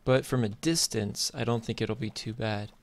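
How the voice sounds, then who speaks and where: Low, creaky-voiced man chatting over gameplay. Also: steady tone, normal speed. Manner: laid-back, hesitant, thinking aloud. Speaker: gaming YouTuber. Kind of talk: casual gameplay commentary. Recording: noisy mic, clicks and typing.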